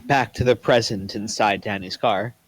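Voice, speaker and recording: A man's voice: lowish voice, fairly even intonation, normal speed. A man reading scripted stage directions as narrator for a table read.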